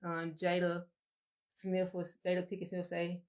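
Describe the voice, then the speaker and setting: A soft-spoken woman, co-hosting a casual live-stream chat and telling her story in a meandering, reflective way. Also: on the low side, completely flat delivery, measured pace.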